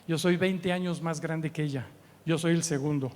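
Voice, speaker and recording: Older Mexican man, higher-pitched, preaching in reverberant hall. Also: steady tone, normal speed, darkish tone. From Mexico City, Mexico. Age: early 70s. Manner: conversational, humorous, often seeks agreement with short tag questions. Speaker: guest preacher and missions trainer. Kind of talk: informal church teaching with anecdotes. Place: church meeting hall. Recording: long hall reverb from church sound.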